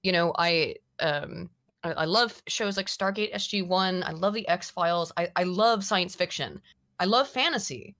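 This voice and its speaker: A woman hosting a casual political chat stream, talking in animated, rambling bursts full of restarts and self-corrections. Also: lowish voice, measured pace. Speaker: stream host. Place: lives in the UK.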